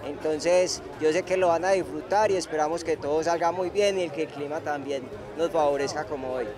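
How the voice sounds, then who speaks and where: A man's voice: a little high, a little fast, crowd noise. A man speaking in an informative, encouraging tone as an event official. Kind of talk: interview.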